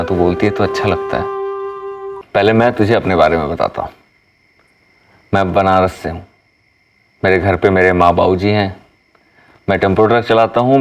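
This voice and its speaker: An actor playing a lovesick truck driver in a TV crime drama, earnest, blunt and insistently pleading. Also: male voice, deep voice, recorded from a distance. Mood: earnest, probing.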